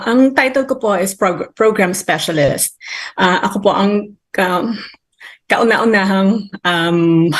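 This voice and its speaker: A woman with a Filipino accent answering interview questions over a video call, switching between Tagalog and English mid-sentence. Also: lowish voice. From Philippines. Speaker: guest on a call.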